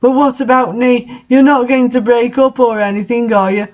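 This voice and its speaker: Reader putting on a pleading, higher-pitched goth boy. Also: level tone, normal speed, a little mellow, natural voice. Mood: anxious. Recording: dull lo-fi home recording.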